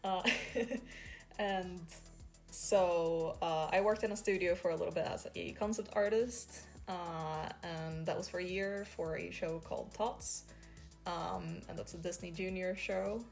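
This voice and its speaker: A woman's voice: on the low side, steady tone, measured pace, vocal fry, a little mellow, faint music behind. A young woman from Sweden with a Swedish accent, a freelance artist chatting casually to camera with lots of fillers and small laughs.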